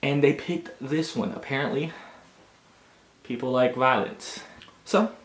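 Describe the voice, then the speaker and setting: A man's voice: medium pitch, a little slow. Young man telling stories in a roomy space. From Augusta, United States. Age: 20s. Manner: animated, slangy, punctuated with "you know what I'm saying". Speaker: storytelling vlogger. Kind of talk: casual personal storytelling. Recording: roomy echo with music behind. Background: background music.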